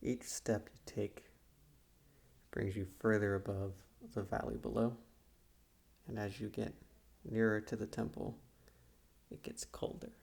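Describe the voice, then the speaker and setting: A man playing a calm, probing psychiatrist in a soft-spoken ASMR roleplay, with a slow, measured, quietly condescending manner and a soft, gravelly voice. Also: on the low side, full character voice. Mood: hypnotic, probing. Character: calm, probing male psychiatrist leading a hypnotic guided visualization.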